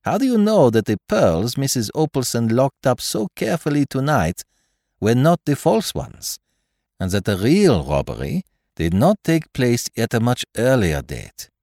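A man voicing a courteous, shrewd detective with a dry, measured, formal manner, in an audiobook. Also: medium pitch, sing-song, vocal fry, a little mellow, no room sound, pitched above the performer's own voice, full character voice. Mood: shrewd, assured. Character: calm, courteous, shrewd foreign male detective.

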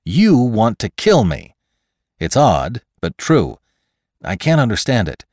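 Storybook role, done by a male narrator: sensible man pleading by logic, higher-pitched, insistent, very dry sound. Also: very animated, slow, a little mellow, no room sound. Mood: urgent reasoning. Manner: insistent, articulate reasoning. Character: logical, reasonable man pleading for his life.

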